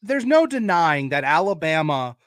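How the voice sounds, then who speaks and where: A man's voice: on the high side, very animated, moderate pace, fairly bright tone. A man co-hosting a sports podcast, arguing emphatically about college football in casual, sometimes profane language. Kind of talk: opinionated college football debate.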